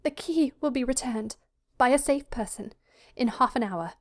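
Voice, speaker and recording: A high, breathy young woman's voice put on by a woman narrator for a timid, frightened daughter in a literary story. She speaks in anxious, hurried pleas, as if afraid of being overheard. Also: lightly acted. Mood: urgent, desperate. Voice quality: breathy, gasping.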